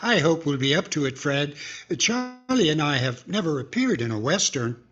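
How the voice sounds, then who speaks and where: A man's voice: fairly high pitch, sing-song, normal speed, fairly bright tone, dull audio. A man playing a polite, hesitant ventriloquist straight man in 1940s radio comedy style. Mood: polite, modest.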